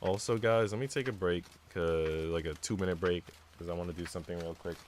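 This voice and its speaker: A man's voice: sits low, steady tone, brisk. A man on a live stream, reading visual novel text aloud and chatting casually with viewers. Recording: noisy mic with typing sounds.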